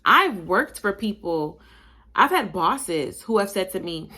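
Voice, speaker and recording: A young adult woman from Buffalo, New York, with a slightly Southern-tinged accent, hosting a casual opinion monologue in an animated, emphatic, chatty way and calling her listeners y'all. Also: medium pitch, takes it slowly, slightly rough. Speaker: podcast host.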